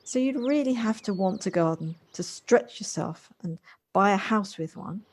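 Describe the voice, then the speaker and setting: A woman's voice: mid-range voice, lively intonation, normal speed, close to an everyday voice. An actress playing a busy city career woman in an audio drama, polite, chatty and slightly flustered. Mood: self-deprecating, earnest. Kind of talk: amateur audio drama acting.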